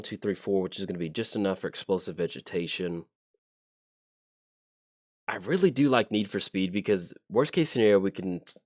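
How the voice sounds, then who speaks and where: A man talking casually through a card game on his channel, thinking aloud with a lot of ums and restarts, his voice slightly raspy from a chest cold. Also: on the low side, dry recording, clean studio sound. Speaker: YouTube gameplay commentator. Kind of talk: casual gameplay commentary.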